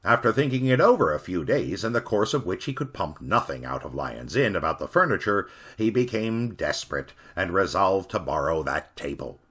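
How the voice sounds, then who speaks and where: A man reads a Victorian ghost story in a low voice with a husky edge. His pitch rises and falls widely as he dramatizes each turn. The delivery is measured and theatrical. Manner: expressive, dramatic reading. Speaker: narrator.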